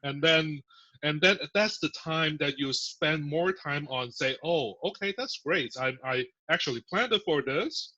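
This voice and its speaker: Male guest, bright and forceful, chatty with fillers; dull lo-fi sound. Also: fairly high pitch, expressive, measured pace. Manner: chatty, filler-heavy explaining with laughs. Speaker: podcast guest, software engineer. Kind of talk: casual interview. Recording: muffled lo-fi audio.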